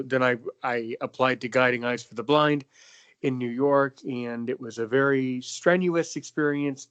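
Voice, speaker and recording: A man's voice: mid-range voice, fairly bright tone, smooth. A young man hosting a podcast interview, talking casually with many fillers and restarts. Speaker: podcast host.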